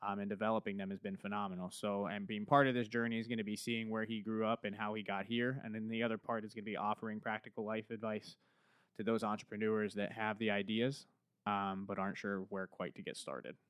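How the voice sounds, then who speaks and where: A male podcast host with a smooth, resonant voice, upbeat and ready with a joke. He sounds close and dry on a studio mic, with no room echo. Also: fairly low pitch, level tone, fast talker, fairly bright tone. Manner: upbeat, joking, fluent with fillers. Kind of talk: casual podcast hosting.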